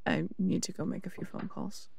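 A man acts out a defiant city cop in an audio roleplay. His voice is high and gravelly with fry, recorded very dry. He talks bluntly and stubbornly, with a sharp tongue. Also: little pitch movement, moderate pace, no echo, heavily acted. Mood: firm, guarded. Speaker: voice actor.

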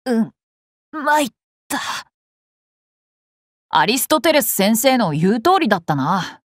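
A woman's voice: a little high, sing-song, slow pace, no echo, studio quality, heavily acted. A woman voicing an eager, polite young boy king and swordsman in a Japanese game, with a confident, curious manner. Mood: rueful, good-natured. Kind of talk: Japanese anime game battle voice lines.

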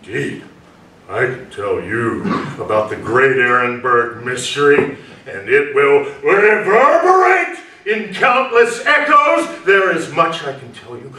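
A man's voice: sits high, sharp tone, full character voice. An older man playing a troubled, pious recluse in a staged play reading, formal and declamatory in manner. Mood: grandiose. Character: troubled, pious, reclusive suspect, brooding and grandiose. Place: small indoor venue, before a seated audience. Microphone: far camera. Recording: roomy distant stage recording with noise.

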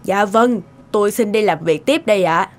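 A woman's voice: medium pitch, normal speed, natural voice. A woman playing a courteous, composed lady in a cartoon. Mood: polite. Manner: polite. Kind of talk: animated cartoon dialogue. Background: music.

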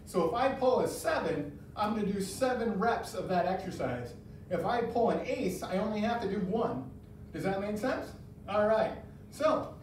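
A man's voice: high voice, darkish tone, recorded from a distance, a little hiss or hum. Upbeat guy coaching a gym class, heard from across a big bouncy room. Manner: upbeat, encouraging, gives step-by-step cues. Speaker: adaptive fitness coach. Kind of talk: instructional exercise class. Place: large bare indoor room. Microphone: far camera. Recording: very echoey room, voice from afar.

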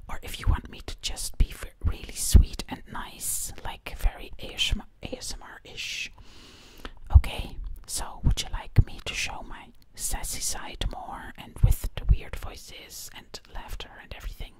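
A woman's voice: unhurried, poor audio. A young woman with a Dutch accent whispers breathily, close to the mic, in a rambling ASMR style, repeating words over and over. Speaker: ASMR performer in a zombie princess role play. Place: dim living room indoors. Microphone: close to the mic, ear to ear.

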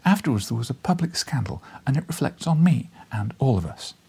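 A man acts out a small, vivacious wife from a storybook. She is anxious and urgent, warning briskly in a raised, husky, lively voice. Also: medium pitch, pitched above the performer's own voice, heavily acted.

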